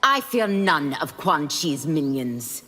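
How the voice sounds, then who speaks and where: A righteous demon woman from a fighting game speaks with solemn resolve. Her lowish voice moves expressively through long reverb, with loud noise behind. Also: big pitch swings, slow, heavily acted. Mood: resolute, stern. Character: stern, righteous demon woman seeking redemption. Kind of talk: fighting game character dialogue.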